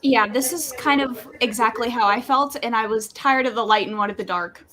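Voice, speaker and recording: A woman's voice: fairly high pitch, normal speed, pushed and bright. A woman co-hosting a live readalong show, talking casually and informally about books. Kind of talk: casual book chat.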